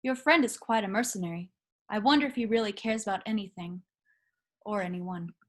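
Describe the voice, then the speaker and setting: A woman's voice: medium pitch, brisk, natural voice. A woman playing a proud, resolute young rebel princess in a script read, earnest and commanding. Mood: indignant. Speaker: actor in a table read. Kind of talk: virtual script table read.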